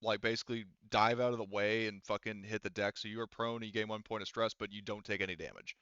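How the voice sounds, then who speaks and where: A man acting as game master, casually narrating and making rulings while thinking aloud in a halting way. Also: on the low side, fairly even intonation, fairly bright tone, smooth, no room sound, dull audio.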